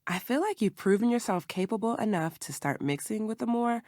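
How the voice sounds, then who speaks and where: A woman playing a careful herbal shopkeeper in a video game, instructive and gentle. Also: mid-range voice, expressive, brisk, no room sound, studio quality, close to an everyday voice. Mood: encouraging, explanatory. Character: calm female herbal shopkeeper, patient, careful mentor.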